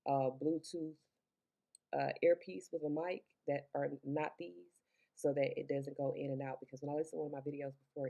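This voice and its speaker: A deep-voiced woman with a gravelly, fry-laced edge gives a loose, chatty tarot reading. She rambles, swears in passing and talks into Bluetooth earbuds. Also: dark tone, highs cut off. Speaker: tarot reader. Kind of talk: casual spoken tarot reading.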